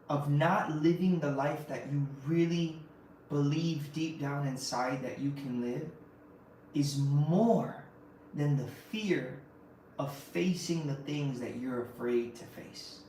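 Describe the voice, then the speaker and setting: A man's voice: a little high, a little mellow, a little hiss or hum. A man hosting a podcast, giving an impassioned faith-based motivational talk and driving points home with repeated phrases and rhetorical questions. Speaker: podcast host. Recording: very echoey room, mic at a distance.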